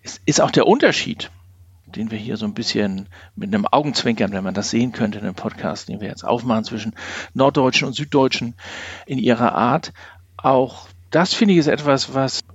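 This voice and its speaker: A man's voice: medium pitch, fairly quick, slightly rough. A middle-aged man, talking in a discursive, wry way as a guest in a podcast interview. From northern Germany, near Hamburg. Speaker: podcast guest, organisational development consultant. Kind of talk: reflective conversational interview. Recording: dull, roomy lo-fi sound.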